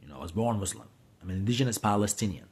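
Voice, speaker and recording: Husky low-voiced man rambling to camera. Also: animated, rapid-fire, darkish tone. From Syria. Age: late 30s. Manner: rambling, many fillers and restarts, indignant. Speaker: vlogger. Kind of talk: casual rambling monologue. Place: small room indoors. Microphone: phone camera held close.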